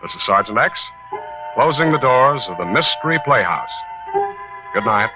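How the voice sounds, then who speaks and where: A man's voice: mid-range voice, lively intonation, normal speed, music in the background. A man hosting a 1940s radio mystery show, delivering a scripted announcement. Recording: dull old radio recording.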